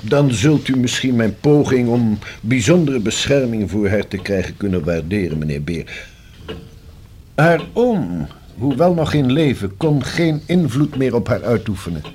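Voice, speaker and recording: An actor playing a formal, precise and long-winded notary in a Dutch radio play, with a slightly husky voice. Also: male voice, medium pitch, lively intonation, unhurried, darkish tone, slight background noise, natural voice. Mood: earnest, justifying. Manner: formal, precise, pedantic legal phrasing. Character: formal, discreet, long-winded male notary explaining a will.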